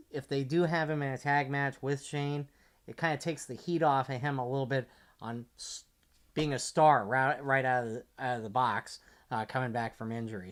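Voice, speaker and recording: A man co-hosting a talk podcast, discussing sports casually and analytically, measured and point by point. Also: mid-range voice. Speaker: podcast co-host.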